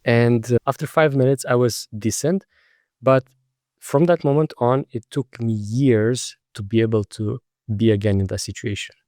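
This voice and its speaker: A man's voice: mid-range voice, fairly even intonation, normal speed, warm tone, dry recording, clean studio sound. A man from Romania with a Romanian accent, a non-native English speaker, hosting a podcast interview in a conversational way and sometimes searching for words. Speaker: podcast host.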